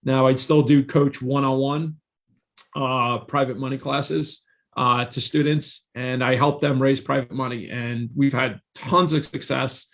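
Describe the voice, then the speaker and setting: A man's voice: medium pitch, steady tone, measured pace, a bit bright. A man in his forties, a guest on a podcast, telling his career story in a confident, salesy way with emphatic repetition. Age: 40s. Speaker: real estate investor and guest.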